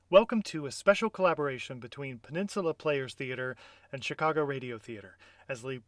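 Man, slightly higher voice, playful chatty host of a live audio play. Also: no room sound. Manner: playful, chatty, mock-whispering asides. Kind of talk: scripted pre-show narration. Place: closet or desk at home.

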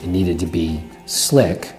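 A man's voice: low voice, slow pace, a little mellow, faint music behind. A man in his thirties, a bank executive, explaining a project earnestly in a corporate interview indoors. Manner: earnest, explanatory business talk. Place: indoor office with brick wall.

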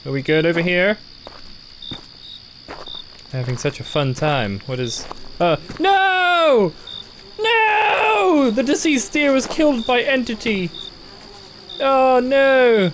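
Jumpy, animated horror game commentator. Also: mid-range voice, slow, pauses often, background noise. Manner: jumpy, sarcastic, gasps and cries out when startled. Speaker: gaming YouTuber. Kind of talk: horror game playthrough commentary, reading on-screen text aloud.

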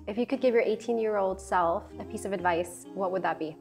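A young woman hosting a studio interview, asking brisk questions and affirming answers in an upbeat way. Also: medium pitch, darkish tone, faint music behind. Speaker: interviewer, host. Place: dark studio set.

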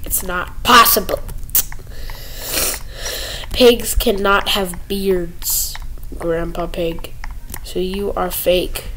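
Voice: mid-range voice, steady tone, extremely slow, long pauses, bright, forceful tone, a little hiss or hum, poor audio. A young video creator giving casual gaming commentary, rambling playfully and stumbling over words. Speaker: young video creator showing game cutscenes. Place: indoor room at home. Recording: home screen recording with music behind.

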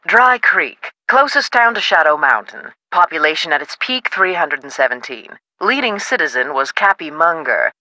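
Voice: mid-range voice, very animated, normal speed, hardly any pauses, pushed and bright, no room sound, poor audio. An actor playing a self-assured, chatty, theatrical historical novelist in a video game. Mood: chatty, proud. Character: high-spirited, self-promoting writer of historical novels, local history buff. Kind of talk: video game character dialogue.

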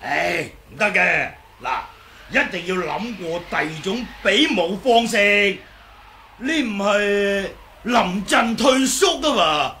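A man's voice: sits high, lively intonation, takes it slowly, bright, forceful tone, heavily acted. A man with a Hong Kong Cantonese accent playing a cheeky, argumentative old martial artist and sore loser in a radio drama. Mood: wheedling. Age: older. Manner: wheedling, argumentative, exclaiming and chuckling. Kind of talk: 1980s radio drama acting. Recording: noisy old 1980s radio recording.

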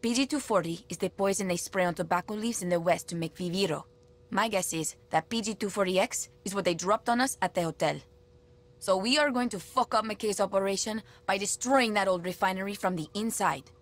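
A commanding rebel leader, voiced by a woman, who lays out the plan with firm resolve. Her pitch sits in the middle of the range. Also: a little fast, close to an everyday voice. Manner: decisive, commanding. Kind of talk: video game voice acting.

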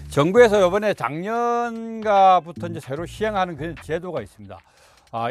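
A middle-aged man talks directly to viewers. He explains things informally and politely, circling through his points in folksy, colloquial phrasing. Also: on the high side. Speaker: video host giving farming tips.

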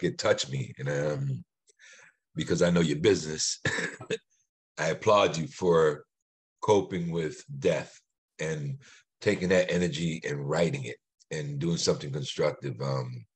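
A middle-aged man giving casual, heartfelt remarks on a video call, haltingly and repeating words. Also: very deep voice, fairly even intonation, husky. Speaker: actor on a panel.

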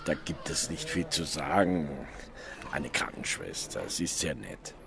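An actor playing a weary, awkward middle-aged police detective who narrates and speaks in an audio drama. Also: male voice, very deep voice, very animated, measured pace, creaky, crowd noise, heavily acted.

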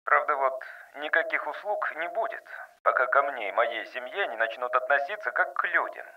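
Man acting hushed, mocking outcast worker. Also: mid-range voice, lively intonation, normal speed, far from the mic, lo-fi sound, lightly acted. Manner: quiet, mocking, curt. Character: mocking, suspicious, defiant male outcast worker.

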